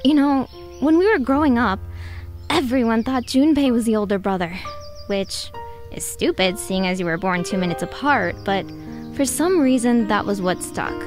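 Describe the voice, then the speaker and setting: Woman as spirited young heroine, higher voice. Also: animated, normal speed, soft background music, natural voice. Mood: gentle, encouraging. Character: young woman adventurer, supportive, spirited, a little exasperated. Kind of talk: audio drama acting.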